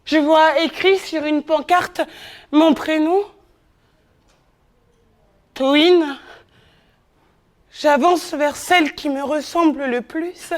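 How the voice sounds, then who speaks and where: A woman performing a poetic theatre monologue on stage, incantatory, moving between whispers and loud declamation. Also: high voice, fairly even intonation, takes it slowly, pauses often.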